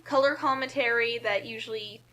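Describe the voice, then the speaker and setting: A woman's voice: on the high side, normal speed, pushed and bright. A woman co-hosting a read-along in a casual, chatty, explanatory way. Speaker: co-host reading fan fiction aloud. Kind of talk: casual banter and commentary.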